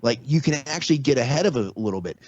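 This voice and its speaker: A man's voice: mid-range voice, expressive, rapid-fire, darkish tone, dry recording. A man in his forties or fifties, a podcast guest, explaining ideas earnestly in casual talk with many restarts. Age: 40s or 50s. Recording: slightly dull lo-fi audio.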